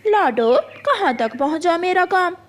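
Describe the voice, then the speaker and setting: A woman puts on a spiteful cartoon crow wife, eaten up with jealousy. Her voice is very high and swoops a lot in melodramatic, indignant speech. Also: animated, unhurried, noisy background. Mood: jealous, bitter. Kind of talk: Hindi cartoon story acting.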